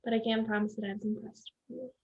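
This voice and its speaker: A woman's voice: mid-range voice, flat delivery, fast, dull audio, lightly acted. A young woman on stage playing a protective, confrontational, doting middle-aged mother in a student play. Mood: doting, proud. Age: young adult. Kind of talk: stage acting in a student one-act play. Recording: echoey stage room.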